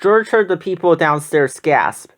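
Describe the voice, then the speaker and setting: A storyteller reading a children's picture book aloud in an expressive way. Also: medium pitch, a little slow. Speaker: storybook reader.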